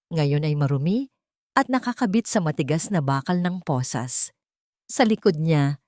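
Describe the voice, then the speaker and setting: A woman narrates a scripted drama as a storyteller. Her voice sits a little low, and her delivery is measured and dramatic. The sound is dry, with very little room in it. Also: a little mellow, no room sound.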